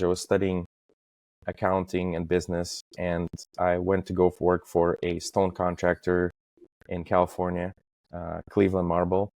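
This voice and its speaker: Low-voiced man, very even in pitch. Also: no room sound. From Estonia. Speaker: podcast guest, facade industry professional. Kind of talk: casual interview, recounting career.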